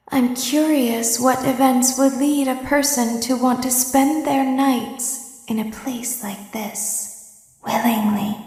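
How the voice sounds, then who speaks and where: A woman voicing an eerily calm, wistful young female animatronic in a horror video game, in a measured, detached, soft-spoken monologue. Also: sits high. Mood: calm, cautioning. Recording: echoey with long reverb tail.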